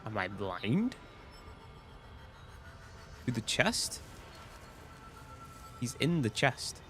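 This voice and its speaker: Brief: Northern Irish male streamer, casual and chatty, mid-pitch, game music running behind. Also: very animated, drawn-out words, a bit bright. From Northern Ireland. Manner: chatty, answers chat questions. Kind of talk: casual live-stream chat.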